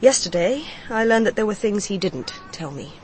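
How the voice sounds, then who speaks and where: An actress plays a woman mourning her lover in a radio crime drama. She confides in measured, candid phrases at a middle pitch, with faint noise behind her. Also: lively intonation, lightly acted. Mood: wistful, confiding. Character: grieving, candid mistress confiding in a detective.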